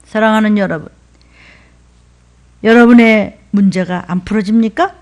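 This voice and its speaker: A middle-aged woman pastor with a Seoul accent, preaching a sermon in an emphatic, rhythmic way, dropping to whispers and rising to shouts. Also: medium pitch, moderate pace. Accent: Seoul dialect.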